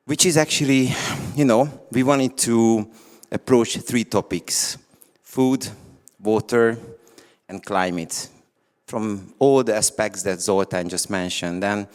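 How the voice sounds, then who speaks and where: A man's voice: fairly low pitch, clean tone. A man speaking as an event organizer, giving a chatty, informal welcome speech. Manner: chatty, conversational. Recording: reverberant hall.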